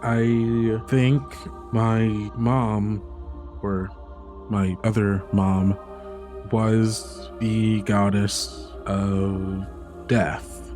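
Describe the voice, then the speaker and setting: Guy roleplaying an unsure defensive young girl, lowish voice, halting casual questions, faint music. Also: level tone, darkish tone. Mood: hesitant. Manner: hesitant, informal, questioning. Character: uncertain, defensive young girl, a god's child.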